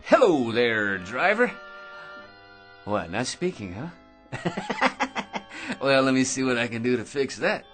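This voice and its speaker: An American actor in a radio drama playing a cocky, ordinary man who rambles smugly and stammers with excitement. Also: mid-range voice, slow pace, pauses often. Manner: rambling, smug, stammering excitedly, pestering with tag questions. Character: cocky, chattering, ordinary man full of crackpot schemes. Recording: radio drama with music underneath.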